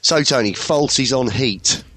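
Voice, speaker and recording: A man hosting an internet radio show, husky and throaty this week, joking in laid-back banter. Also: mid-range voice, unhurried, background noise. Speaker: radio host. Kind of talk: casual radio chat.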